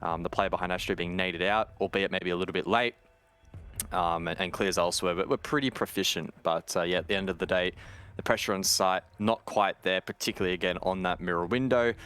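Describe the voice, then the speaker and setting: A man giving analytical colour commentary on a live esports match in a measured, wordy way. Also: low voice, fairly even intonation, fairly bright tone, clean tone.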